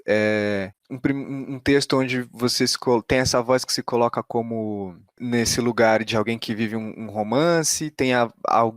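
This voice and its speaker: A man's voice: mid-range voice, a little slow, few pauses, dry recording, clean studio sound. A Brazilian man interviewing a guest conversationally, searching for his words with frequent restarts. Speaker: podcast host, interviewer.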